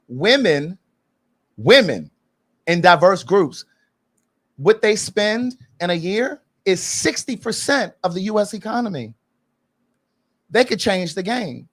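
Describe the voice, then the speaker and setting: Podcast host, man in his late forties, slightly high voice, insistent and repetitive, laughs big. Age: 40s. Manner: emphatic, repeats points, laughs heartily. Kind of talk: casual opinionated chat.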